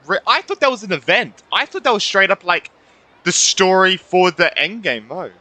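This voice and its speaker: A woman streaming a game, reacting casually and excitably, with some swearing. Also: on the low side, normal speed. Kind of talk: casual live gameplay reaction.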